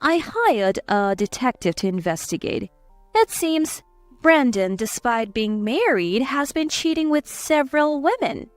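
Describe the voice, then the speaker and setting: A woman's voice: high voice, very animated, unhurried, no room sound, faint music behind. A woman voicing a confident, protective aunt who speaks in a pointed, composed way in a dramatized story. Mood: composed, accusing. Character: confident, cutting, protective aunt exposing cheaters. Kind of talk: scripted story dialogue.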